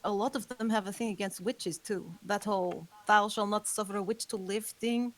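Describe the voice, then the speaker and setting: A woman's voice: medium pitch, normal speed, lightly acted. A woman reading the part of a young female vampire who earnestly warns her roommate of danger, in a script table read. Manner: earnest, urgent warnings.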